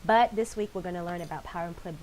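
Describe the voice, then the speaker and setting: Stuffy-nosed woman teacher doing a class update, lowish voice, chatty with lots of ums, bit of hiss. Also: quick pace, rough recording. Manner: warm, conversational, frequent ums. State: congested with a head cold. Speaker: instructor. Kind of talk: recorded weekly course announcement. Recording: faint background hiss.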